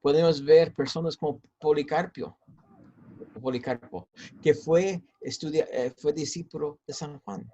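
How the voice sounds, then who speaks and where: Man, pastor, American-Mexican accent, slightly high voice, halting with fillers. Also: mellow, dull audio. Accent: mix of American and Mexican Spanish. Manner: talkative, hesitant with many fillers and repeats. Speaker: pastor and seminary teacher. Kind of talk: interview answers.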